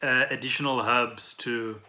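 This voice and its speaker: A man's voice: mid-range voice, fairly even intonation, a little slow, sharp tone. A South African man hosting a podcast, explaining trending news in a conversational way and often checking in with the listener. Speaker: podcast host.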